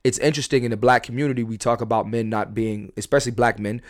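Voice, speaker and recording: A man from Philadelphia hosting a casual podcast chat, talking in animated bursts with many restarts and plenty of swearing. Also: mid-range voice, fast, dry recording, clean studio sound. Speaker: podcast host.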